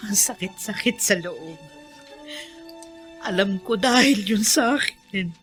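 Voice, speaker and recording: A woman's voice: medium pitch, slow, a bit bright, faint music behind. An actress playing an anguished, forgiving middle-aged mother in a Filipino radio drama, pleading emotionally with a Filipino accent. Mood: grieving. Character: anguished, forgiving mother worried for her wayward son.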